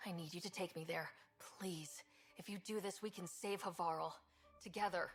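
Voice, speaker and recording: A woman's voice: fairly low pitch, steady tone, normal speed, close to an everyday voice. Woman as an earnest young space explorer, music behind. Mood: gentle, pleading. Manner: direct, plain-spoken, persuasive. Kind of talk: video game cutscene acting.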